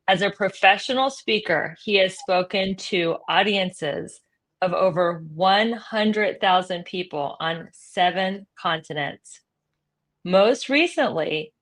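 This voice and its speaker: A woman's voice: medium pitch, fairly bright tone. A woman hosting an interview over a video call, chatty and enthusiastic. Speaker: interview host. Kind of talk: casual interview chat.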